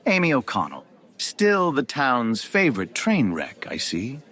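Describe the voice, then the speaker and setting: A man's voice: mid-range voice, huge pitch swings, measured pace, sharp tone, full character voice. Smug, menacing middle-aged man, swooping delivery. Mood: sneering. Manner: smug, controlled, veiled threats. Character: cold, menacing rich man in his mid-forties. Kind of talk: audio drama dialogue.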